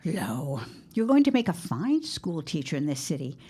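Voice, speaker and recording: A woman acting a brisk, bossy middle-aged shopkeeper mother in an audio drama, stern, dry and no-nonsense. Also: sits low, big pitch swings, dark tone, slightly rough, exaggerated voice. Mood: stern, nagging.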